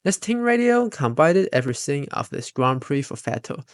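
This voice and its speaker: A man's voice: a little high, very animated, normal speed, clear, resonant tone, no echo, clean studio sound. A man reading scripted narration as a fan commentator, with non-native phrasing and grammar slips.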